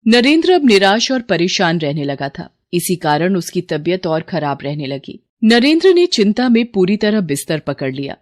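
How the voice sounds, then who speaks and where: A woman's voice: fairly low pitch, big pitch swings, normal speed, few pauses. A woman reading scripted narration as the storyteller of a moral tale.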